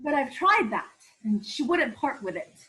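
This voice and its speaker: A woman playing an earnest, upset young gentleman in an old-style radio play. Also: high-pitched, sing-song, normal speed, faint music behind, heavily acted, low-quality recording. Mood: anxious. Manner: urgent, earnest, wounded. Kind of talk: 1940s radio play acting.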